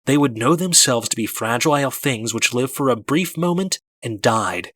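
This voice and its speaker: A man narrating a first-person story from a script in a measured, dramatic storytelling manner. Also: mid-range voice, expressive, a bit bright, no echo, clean studio sound. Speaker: audiobook narrator.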